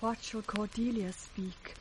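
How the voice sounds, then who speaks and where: A reticent, sincere youngest princess, played by a woman, speaks slowly and with restraint in a British accent. Her mid-pitched voice is quietly resolute, in classic radio Shakespeare style with faint hiss. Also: fairly even intonation, lightly acted. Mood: quiet, troubled.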